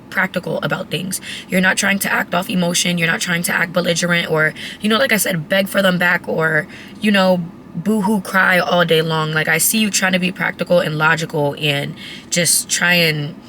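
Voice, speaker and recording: A raspy, hoarse woman talks straight to her viewers through a tarot reading, chatty and blunt, with an easy habit of swearing. Her tone is bright and forward despite the strained voice. A faint hiss of noise sits behind her. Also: lowish voice, steady tone, a little fast. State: hoarse, voice cracking. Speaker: tarot reader. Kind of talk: casual tarot reading to camera.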